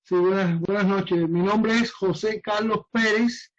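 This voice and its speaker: A man in his fifties from Cuba with a Cuban accent, an interviewee speaking deliberately and haltingly. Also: high-pitched, fairly even intonation, muffled recording. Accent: Cuban Spanish. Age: 50s.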